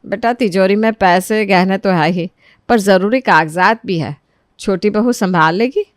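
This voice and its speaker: A woman's voice: medium pitch, unhurried, dry recording, close to an everyday voice. Older mother-in-law for a moral story, played by a woman, devout, commanding, easily exasperated, dry close sound. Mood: matter-of-fact. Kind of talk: Hindi moral story character acting.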